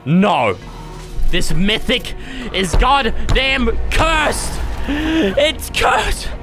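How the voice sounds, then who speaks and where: A young man commentating his own gameplay, loud and dramatic, with squealing yelps and groans, his voice starting to go hoarse. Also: very high-pitched, sing-song, very slow, over music. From Australia. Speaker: gaming YouTuber.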